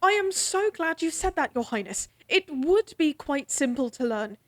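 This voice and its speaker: Young court musician lady, high voice, formal, guarded, crisp, dry close mic. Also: big pitch swings, normal speed, dry recording. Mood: eager. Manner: crisp, formal, slightly exasperated. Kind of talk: fantasy audio drama acting.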